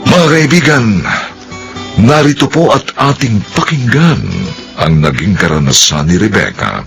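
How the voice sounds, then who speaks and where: A man's voice: medium pitch, expressive, fairly bright tone, faint music behind. A man with a Filipino accent giving solemn, theatrical narration and announcements for a radio drama. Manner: solemn, theatrical, deliberate phrasing.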